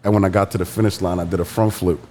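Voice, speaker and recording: Laid-back young rapper from Boston, low voice. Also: male voice, steady tone, racing, mellow, slight background noise. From Massachusetts, raised in Boston. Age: young adult. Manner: laid-back slangy storytelling, playful asides. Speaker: rapper guest. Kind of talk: casual interview storytelling.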